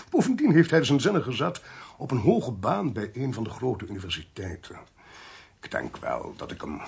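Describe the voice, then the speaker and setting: Smug, husky-voiced official investigator. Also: male voice, fairly low pitch, full character voice. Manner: pompous, precise, commanding. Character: smug, domineering male government investigator, officious and sly. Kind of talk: Dutch radio play acting.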